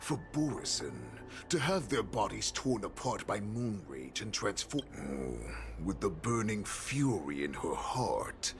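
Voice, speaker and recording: An actor voicing a menacing male wolf-beast warlord in a video game, gravelly, taunting and theatrical. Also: sing-song, soft background music, exaggerated voice. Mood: gloating, ominous. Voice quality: deep, gravelly. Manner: taunting, theatrical, drawn-out delivery. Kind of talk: dramatic video game voice acting.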